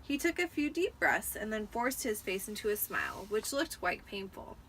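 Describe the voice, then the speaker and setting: A woman's voice: medium pitch, big pitch swings, normal speed, a bit bright, slight background noise, poor audio. A tipsy woman giving a dramatic amateur audiobook narration. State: tipsy from wine.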